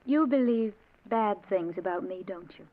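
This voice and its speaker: A woman's voice: medium pitch, lively intonation, lightly acted. Woman acting a mysterious siren, tinny old radio. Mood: gently pleading. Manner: measured, enigmatic.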